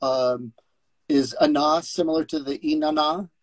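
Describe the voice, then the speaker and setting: A man's voice: a little high, fairly even intonation, measured pace. A man from New Jersey on a live stream, puzzling through words aloud and laughing easily. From New Jersey, United States. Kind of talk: casual live-stream chat. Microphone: phone or webcam on a live stream.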